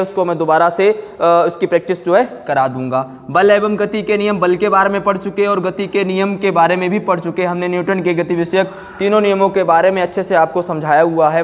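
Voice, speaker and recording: Casting: male online tutor, high voice, explanatory delivery sprinkled with English terms, long echoing reverb. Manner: explanatory, repeats check-words and phrases. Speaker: physics teacher. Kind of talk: online exam-prep class talk.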